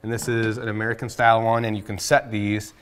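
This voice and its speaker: A man's voice: on the low side, flat delivery, fast, a bit bright, smooth. A man in his thirties, a guest woodworker, patiently explaining and demonstrating his craft in a large workshop, recorded on a lapel mic. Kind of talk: instructional chat. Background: faint guitar music.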